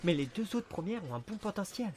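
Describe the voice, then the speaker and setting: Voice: mid-range voice, very animated, brisk, darkish tone, lightly acted. A performer voicing a small talking creature, a cocky amnesiac detective with a sarcastic, dramatic, wisecracking manner, in a French film fan dub. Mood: insistent. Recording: music behind the voice.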